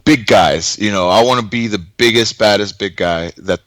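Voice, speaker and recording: A man, a pro wrestler, answering interview questions haltingly with many fillers. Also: fairly low pitch, a bit bright, no echo.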